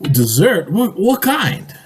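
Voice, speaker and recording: Man playing a high-pitched, blustering company boss. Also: sing-song, slow, breathy edge, full character voice. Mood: sheepish. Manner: bossy, sputtering, emphatic. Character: blustering, excitable male company boss, in denial then panicking. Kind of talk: radio drama acting.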